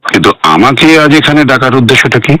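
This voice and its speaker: A man's voice: mid-range voice, fast talker, pushed and bright, no echo, rough recording. An actor playing a guarded, menacing man in a radio drama, speaking in a clipped, formal, commanding manner.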